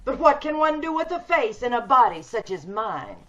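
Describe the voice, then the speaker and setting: A woman's voice: fairly high pitch, big pitch swings, normal speed. A woman playing a bitter, imperious, disfigured recluse in an old-time radio drama, theatrical and despairing. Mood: scornful, angry. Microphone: video call. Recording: dull video-call audio.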